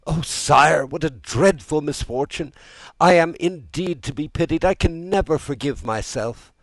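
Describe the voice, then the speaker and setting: A man's voice: medium pitch, expressive, a little slow, no echo, voice pushed up. A man voicing a fearful, despairing police minister in an audiobook, stammering deferentially to his king. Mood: distressed, pleading. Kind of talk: audiobook character dialogue.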